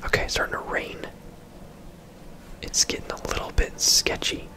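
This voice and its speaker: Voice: normal speed, pushed and bright. A person whispering casual, chatty game commentary in an ASMR style, rambling off into asides, close to the microphone. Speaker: video game streamer and host.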